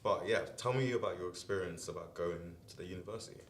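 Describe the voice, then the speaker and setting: A man hosting a podcast interview in a relaxed, casual way, often saying "like" and "yeah". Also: low-pitched, fast, echoey room. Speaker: podcast host.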